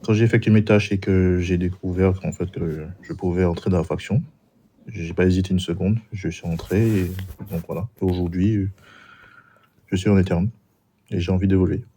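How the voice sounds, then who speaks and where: A man's voice: low voice, fairly even intonation, normal speed, mellow, a little hiss or hum. A man from Martinique answering questions in an in-character job interview, hesitant and full of fillers and restarts. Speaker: job applicant in a roleplay server.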